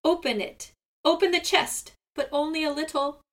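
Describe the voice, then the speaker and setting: A woman's voice: sits high, measured pace, pushed and bright, pitched above the performer's own voice. A woman voicing a whiny, self-pitying old male seagull who wails and squawks his complaints in a storybook tale. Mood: urgent. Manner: wailing, squawking, repeating complaints.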